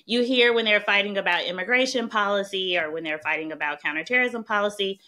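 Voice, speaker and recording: A woman's voice: mid-range voice, quick pace, pushed and bright. A woman from Mississippi with a Southern accent, a podcast guest telling her story in a chatty way, with frequent "um" and "right?". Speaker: podcast guest, policy expert. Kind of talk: conversational interview.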